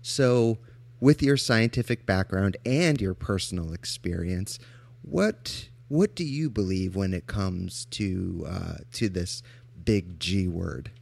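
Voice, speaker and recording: A man's voice: low-pitched, animated, takes it slowly, vocal fry, darkish tone, no room sound. A man hosting a podcast interview, affirming his guest and asking questions with frequent ums. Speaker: podcast host.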